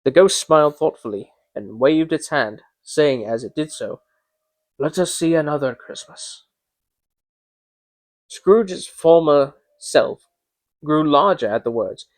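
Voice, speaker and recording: Male storybook narrator, fairly high voice, dramatic reading style, recorded dry. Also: animated, a little slow, no room sound. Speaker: amateur reader of a classic story.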